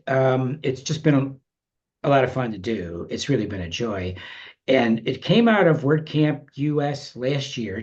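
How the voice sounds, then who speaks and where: Male guest, middle pitch, reflective and rambling, talking over a muffled, lo-fi line. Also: a little mellow. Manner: reflective, rambling, many you-knows and restarts. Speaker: podcast guest. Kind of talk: casual interview chat.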